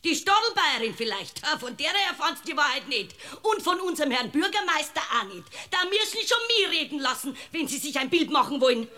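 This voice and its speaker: An actress playing an indignant, gossipy parish housekeeper with a rural Bavarian accent in a radio drama, garrulous and self-righteous. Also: female voice, sits high, big pitch swings, brisk, hardly any pauses, bright, forceful tone, exaggerated voice. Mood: defiant. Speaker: actor. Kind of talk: German radio drama acting.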